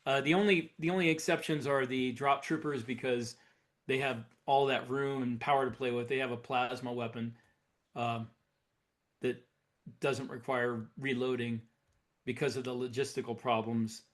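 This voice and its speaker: A man's voice: mid-range voice, steady tone, moderate pace. A man co-hosting a podcast, talking casually as a science fiction writer in an unscripted discussion. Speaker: podcast co-host and military sci-fi writer.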